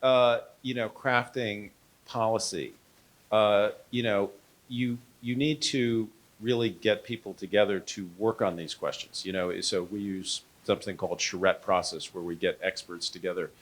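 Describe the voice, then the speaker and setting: A man speaking off the cuff on a discussion panel, haltingly and with frequent filler phrases. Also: fairly low pitch, fairly even intonation. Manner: halting, frequent "you know". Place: live panel event before an audience.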